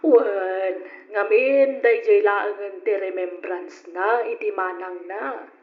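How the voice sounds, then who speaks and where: A woman's voice: mid-range voice, takes it slowly, close to an everyday voice. A woman voicing a middle-aged mother in an old radio play, motherly and a little scolding. The sound is dull and echoey, like a vintage broadcast. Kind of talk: 1940s-style radio drama acting.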